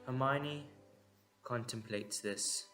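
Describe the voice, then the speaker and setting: A man narrating a dramatic story in short, measured, suspenseful phrases. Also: medium pitch, bright, forceful tone, faint music behind.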